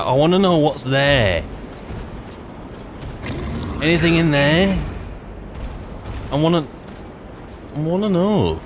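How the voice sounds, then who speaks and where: A man's voice: mid-range voice, heavy background noise. A man playing a wry, grumbling adventurer in a fantasy video game roleplay, musing to himself in a hesitant, politely ironic way. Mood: curious, wry. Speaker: roleplaying game player and narrator. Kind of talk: in-character improvised gameplay commentary.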